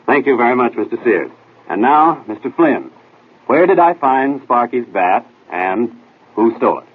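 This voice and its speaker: An American actor playing a confident, urbane young detective in a 1940s radio drama, brisk and self-assured. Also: male voice, mid-range voice, very animated, husky, phone-quality sound. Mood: genial hosting. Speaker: detective drama lead and quiz host. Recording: noisy, band-limited 1940s radio recording.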